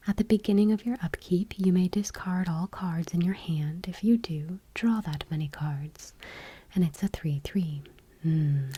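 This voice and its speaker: A woman speaking in a soft, breathy whisper, reading aloud gently and unhurriedly in an ASMR style. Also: lowish voice. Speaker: host of an ASMR channel.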